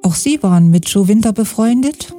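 Mellow-voiced woman radio host. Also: mid-range voice, expressive, soft background music. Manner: formal, measured questioning. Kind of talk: radio interview.

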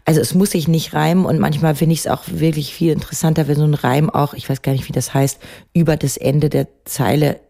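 A middle-aged woman with a lowish, mellow voice talks about her songwriting in an unhurried, reflective way. She often pauses and hunts for the right word, with plenty of fillers between her thoughts. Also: steady tone, creaky. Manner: thoughtful, searching for words with many fillers. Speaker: songwriter guest. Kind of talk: reflective interview talk.

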